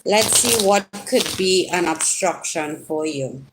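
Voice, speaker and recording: A woman's voice: lowish voice, lively intonation, slow pace, very noisy. A woman with a Trinidadian accent giving a casual live tarot reading, chatting and teasing her viewers directly with sudden rising exclamations. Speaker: tarot reader.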